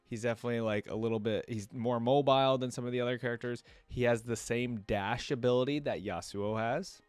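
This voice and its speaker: A man giving casual gaming reaction commentary as a host, rambling and thinking out loud as he goes. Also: mid-range voice, brisk, clean tone, dry recording, soft background music.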